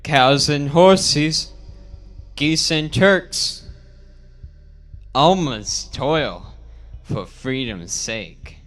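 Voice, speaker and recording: Man voicing a very slow, solemn old boar orator. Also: fairly high pitch, fairly bright tone, faint music behind. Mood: rousing, declamatory. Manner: declamatory, drawn-out, slang-heavy oratory. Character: old male farm pig elder, rousing, solemn visionary. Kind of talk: parody storybook character reading.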